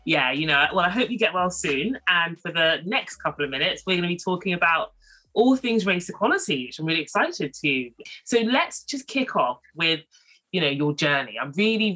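A woman's voice: deep voice, sharp tone, husky, poor audio. A woman hosting a podcast, chatting in a friendly way full of fillers as she opens the interview. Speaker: podcast host. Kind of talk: casual interview chat.

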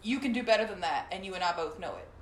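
A young woman with a low, slightly raspy voice, talking loosely as a guest on a podcast. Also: animated, fast talker. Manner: chatty, loose, lots of fillers. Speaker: guest singer and artist. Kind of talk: casual podcast chat. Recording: roomy echo.

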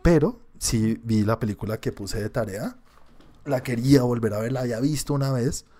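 A man's voice: medium pitch, normal speed. A man with a Colombian accent co-hosting a podcast, chatting casually about shows and films, rambling and swearing. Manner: animated, swearing, laughing, rambling. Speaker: podcast co-host.

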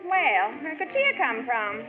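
A woman's voice: on the high side, expressive, moderate pace, close to an everyday voice. A woman voicing a fussing, motherly older country wife, bossy and folksy. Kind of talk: 1940s radio drama acting. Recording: tinny old radio recording with music.

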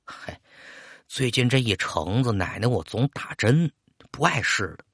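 A man voicing an elderly grandmother, secretive and doting, gently scolding in a folksy storytelling style. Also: mid-range voice, expressive, a little slow, no echo, clean studio sound. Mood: gentle, secretive. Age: older.

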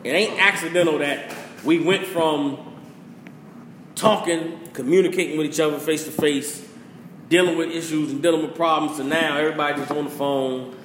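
A man's voice: fairly high pitch, steady tone, normal speed, fairly bright tone. Man, preachy, profane, echoey room, faint hiss. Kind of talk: casual opinion rant.

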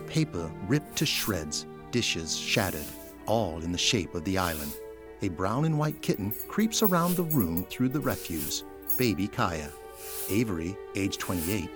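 A man narrates a scripted audio drama in dramatic style. His voice is on the low side, and prominent music runs loudly beneath it.

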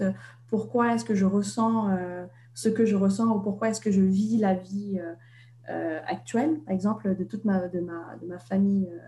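Soft-spoken hesitant woman, echoey room. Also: mid-range voice. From Vietnam. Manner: hesitant, many fillers and restarts. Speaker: author, interview guest. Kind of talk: reflective interview conversation.

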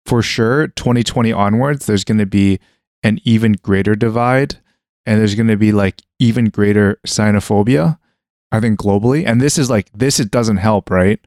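A man's voice: lowish voice, normal speed, a little mellow, no room sound, clean studio sound. A young man in casual conversation, talking through his thoughts hesitantly with many restarts and fillers. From Western world (Canada).

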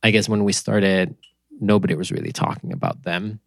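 A man from Finland with a Finnish accent, a company founder chatting casually as a podcast guest, relaxed and rambling with fillers. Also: deep voice, normal speed, gravelly, no room sound. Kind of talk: casual interview chat.

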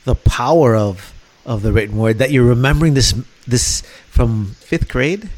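A man's voice: medium pitch, normal speed, a little mellow. Eager male radio co-host. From Chicago. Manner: enthusiastic, repeats words when starting sentences. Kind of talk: radio interview, asking questions.

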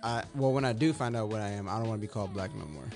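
A man's voice: fairly low pitch, racing, a little mellow. An American man chatting casually with friends on a podcast in a laid-back, slangy way. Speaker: podcast host. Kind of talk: casual banter among friends. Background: music playing in the background.

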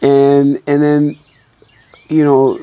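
A man from Nigeria, a musician, chatting in a relaxed, hesitant way outdoors, often repeating words and saying "you know.". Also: medium pitch, drawn-out words, muffled recording. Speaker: musician guest. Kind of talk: casual interview chat.

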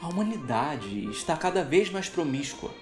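A man's voice: fairly high pitch, very animated, moderate pace, a little mellow. Audio drama role: dignified, earnest angel played by a man, formal delivery, very varied intonation, music behind. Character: earnest, dignified male angel, devoted to saving humans.

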